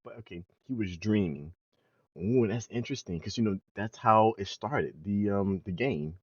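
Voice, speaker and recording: A young man giving casual, slangy reaction commentary, playful and expressive, close to the microphone. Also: on the low side, normal speed, no echo, dull audio. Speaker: reaction video host.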